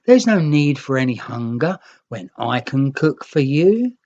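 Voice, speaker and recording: A woman's voice: very deep voice, sing-song, unhurried, warm tone, lo-fi sound, full character voice. A woman voicing a cheerful, kindly young green nix creature in a children's storybook, eager to share. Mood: warm, inviting.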